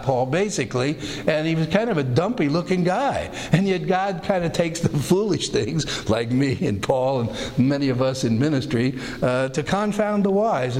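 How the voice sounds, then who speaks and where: An older male pastor with a raspy, slightly raised voice preaches a rambling, folksy sermon. He slips into quoted voices as he talks. The sound carries a long reverb from the church hall. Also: on the high side, darkish tone. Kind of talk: conversational sermon. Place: church sanctuary.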